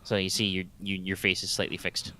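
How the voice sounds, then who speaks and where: A man's voice: low-pitched, completely flat delivery, fairly quick, sharp tone, a little hiss or hum. A man co-hosting a live gaming stream, chatting loosely with lots of "like" and "uh" and the odd swear word. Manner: rambling explainer with frequent "like" and "uh", casual swearing. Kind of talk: casual live-stream chat over gameplay.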